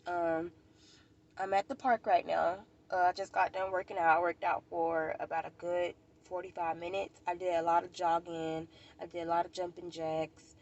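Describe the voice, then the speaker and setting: An American woman with a fairly low voice that often drops into creaky fry. She sounds stuffed up in the nose and talks informally to camera as a vlogger, rambling along and circling back over her own words. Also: little pitch movement, moderate pace, darkish tone, lo-fi sound. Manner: informal, rambling, repeats herself. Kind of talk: casual chat to camera. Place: outdoors at a park.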